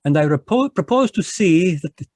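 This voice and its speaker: Man voicing a precise Belgian detective, French accent, rather high voice, dry sound. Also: lively intonation, normal speed, a little mellow, no room sound, lightly acted. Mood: polite, shrewd. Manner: precise, polite, sprinkles French words. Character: fussy Belgian detective, middle-aged man. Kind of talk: radio drama read live over video call. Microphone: home microphone on a video call.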